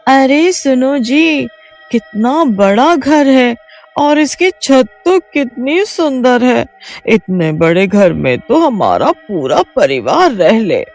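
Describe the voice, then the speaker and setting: A jumpy young village woman in a spooky cartoon, voiced by a woman, high and shrill and quick to wail. Her voice swoops across a wide range, and a faint music bed sits behind her. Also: very animated, darkish tone, heavily acted. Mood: excited. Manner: shrill and wailing, easily frightened. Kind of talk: cartoon voice acting.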